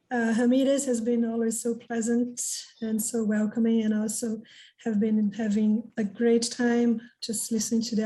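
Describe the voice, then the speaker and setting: A woman whose first language is Portuguese speaks English with a Brazilian accent, her voice fairly high and mellow with a level, even melody. She opens her conference talk in a friendly, chatty way over a video call, with a few fillers and restarts. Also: rough recording. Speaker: conference presenter, professor. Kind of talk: informal remarks before an academic paper. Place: home or office in North Carolina.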